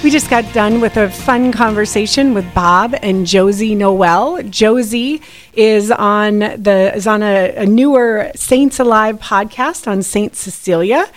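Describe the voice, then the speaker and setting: A woman in the host's chair of a radio talk show speaks at an ordinary mid pitch. She is friendly and conversational, and stumbles a little between thoughts. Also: measured pace, faint music behind. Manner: friendly, with fillers and restarts. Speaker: radio host and executive director.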